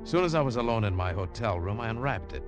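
A man's voice: fairly low pitch, animated, fast talker, music in the background, natural voice. A man playing a tough American adventurer who narrates his own story with a terse, hard-boiled delivery in a 1950s radio drama. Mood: narrating. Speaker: narrator and lead.

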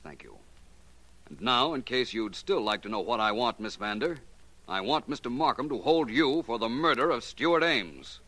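Shrewd, bright-voiced American radio detective. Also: male voice, mid-range voice, expressive, moderate pace. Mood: coolly triumphant. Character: confident, shrewd male private detective explaining a solved case. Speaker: lead actor. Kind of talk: 1940s radio acting.

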